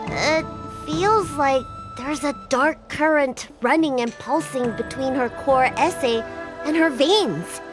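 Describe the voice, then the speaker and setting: High bouncy kid healer girl from a game, chatty n flustered, music behind. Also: slow. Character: excitable, chatty young girl healer, kind and self-assured. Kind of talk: video game voice acting.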